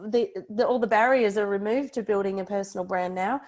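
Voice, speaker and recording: Australian female, 40s, conversational podcast guest, chatty and informal, mid-range pitch. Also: brisk, a little mellow. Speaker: podcast guest, personal branding expert. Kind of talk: conversational interview, recounting own career.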